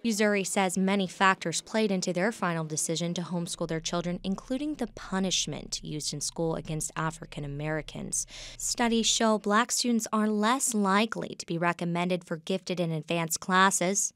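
A woman news reporter reading a scripted report in a measured tone. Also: on the low side, fairly bright tone.

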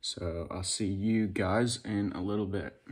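A man's voice: low-pitched, steady tone, a little slow, a little mellow, dry recording. A young man in his twenties talking casually to a phone camera held at arm's length, rambling with ums and self-corrections as he goes about his day. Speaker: vlogger. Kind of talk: casual daily vlog to camera. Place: house living room, then park outdoors, then inside a car.

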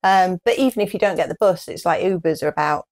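A woman's voice: on the low side, quick pace, darkish tone. A middle-aged woman with a British accent, chatting casually as the co-host of a show. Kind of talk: casual chat. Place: small wood-panelled room, indoors.

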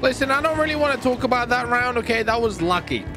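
A man giving excited, playful gaming commentary in a theatrical way, often exclaiming and repeating his phrases. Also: extremely high pitch, quick pace, over music. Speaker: gamer and video host.

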